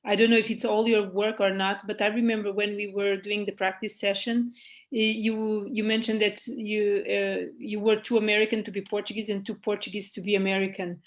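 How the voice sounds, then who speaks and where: A woman's voice: mid-range voice, flat delivery, a little fast. A woman interviewer speaking conversationally, with careful, tentative phrasing that she often corrects as she goes.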